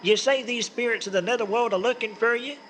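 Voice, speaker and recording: Man acting a high-pitched, folksy Southern fellow. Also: fast, a bit bright, slight background noise. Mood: friendly, persuasive. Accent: American South. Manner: folksy, insistent, talkative. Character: folksy, excitable, bossy, good-hearted small-town Southern man. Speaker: radio actor. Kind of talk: comic old-time-style radio drama.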